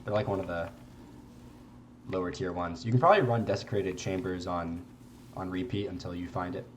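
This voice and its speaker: A young man with a congested voice, giving relaxed, rambling gameplay commentary and swearing casually. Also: low-pitched, a little fast, noisy background. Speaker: game streamer.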